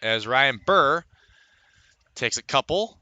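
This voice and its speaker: A man calling live baseball play-by-play as a radio announcer, conversational between pitches and lifting his delivery for the action. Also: takes it slowly, no room sound, dull audio. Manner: lifts into excitement on plays, conversational between pitches.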